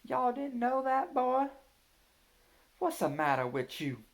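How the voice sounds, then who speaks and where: A man voicing a father who jokingly puts on a loud, mocking Southern drawl. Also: very high-pitched, big pitch swings, slow pace, vocal fry, pitched above the performer's own voice, exaggerated voice. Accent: put-on Southern US drawl.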